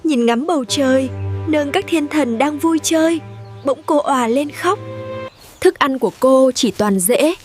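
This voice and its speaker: A woman's voice: high voice, a little slow, darkish tone. A woman narrating a scripted fairy tale for children over music. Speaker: storyteller.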